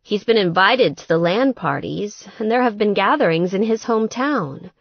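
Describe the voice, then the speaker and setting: A woman's voice: mid-range voice, animated, moderate pace, dry recording, muffled recording. A woman voicing a sweet, distressed young woman gamer in an audiobook, plaintive and exasperated. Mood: troubled. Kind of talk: audiobook character dialogue.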